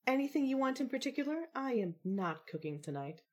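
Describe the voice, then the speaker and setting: Narrator doing a snarky proper young lady in a story podcast, higher voice, very up and down. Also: sing-song, a little fast. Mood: dry, teasing. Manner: arch, formal, dryly polite. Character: dry, poised, snarky young woman, formal-spoken sister.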